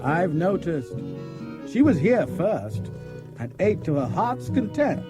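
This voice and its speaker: Exasperated farmer, swooping expressive voice. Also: male voice, high voice, slow, heavily acted. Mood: resigned. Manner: expressive, indignant. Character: exasperated older male farmer with a vegetable garden. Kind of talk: dramatised children's audiobook. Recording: cassette audiobook with music behind.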